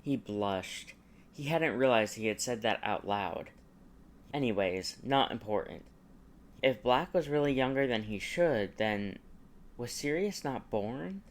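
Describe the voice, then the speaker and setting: Male narrator for fan fiction audiobook, medium pitch, story-reading delivery. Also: animated, measured pace.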